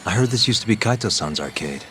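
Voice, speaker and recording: An actor playing a cocky, sardonic civilian among gangsters in a video game crime drama, with a cool, needling delivery. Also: male voice, lowish voice, expressive, fast talker, fairly bright tone. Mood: wry. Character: cocky, sardonic male friend of yakuza, unafraid civilian. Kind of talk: dramatic English game voice acting. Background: prominent music.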